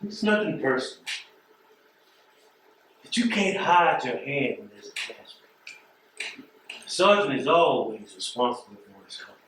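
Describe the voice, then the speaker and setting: An actor playing a blunt, disappointed postal carrier who lectures emphatically in a stage play. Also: male voice, a little high, very animated, unhurried, pauses often, fairly bright tone. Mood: stern, lecturing. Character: blunt, disappointed male postal carrier, preachy old friend. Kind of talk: stage play acting, dramatic dialogue. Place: theatre stage. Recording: roomy stage, distant mic, faint noise.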